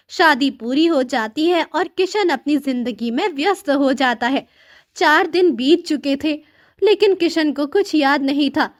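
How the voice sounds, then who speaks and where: A woman narrating a scripted moral story. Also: high-pitched.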